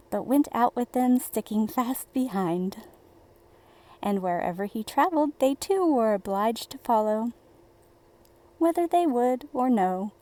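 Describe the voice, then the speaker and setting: A woman narrates a children's fairy tale in a fairly high, mellow voice. She takes her time, shaping each phrase with deliberate storybook expression. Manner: slow, deliberate, theatrical storybook delivery.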